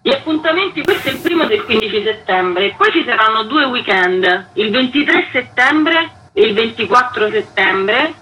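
A woman's voice: a little high, animated, normal speed, hardly any pauses, pushed and bright, rough recording. A woman speaking as a festival curator in a radio interview, explaining things in a conversational way.

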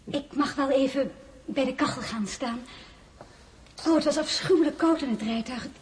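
An actress in a radio drama playing an impulsive, plaintive wife of a crown prince, with a theatrical, fretful manner. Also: female voice, high voice, moderate pace, a little mellow, natural voice. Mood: complaining.

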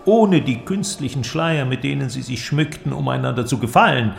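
An actor playing an eloquent, cynical inventor who argues in a declamatory, creaky voice in a literary audio drama. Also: male voice, mid-range voice, normal speed, slight background noise. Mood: assertive. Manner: declamatory, argumentative, rhetorical. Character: male inventor, cynical, eloquent philosophical persuader.

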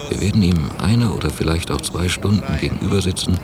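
A low male voice from an actor plays a doubtful, brooding man in a radio play. He reflects in a measured way. The old cassette copy carries clear hiss and noise. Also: natural voice. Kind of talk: radio drama inner monologue and dialogue.

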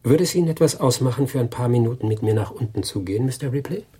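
Male detective, thirties, watchful, dark husky voice, radio crime drama. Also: mid-range voice, animated, fast talker. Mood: probing, polite. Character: watchful, soft-spoken male detective, about thirty-five.

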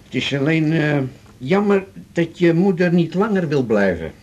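A man's voice: on the high side, sing-song, normal speed, a little mellow, highs cut off. An actor playing a jovial, familiar, chatty man, breezy and teasing, in a radio drama.

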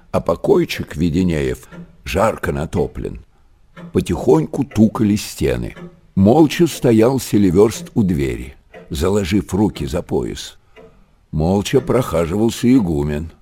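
A male storyteller narrates an old monastery tale for radio. His voice is fairly low and soft-edged, with widely moving intonation and unhurried, expressive phrasing. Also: breathy edge, heavily acted. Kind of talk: literary radio-drama narration.

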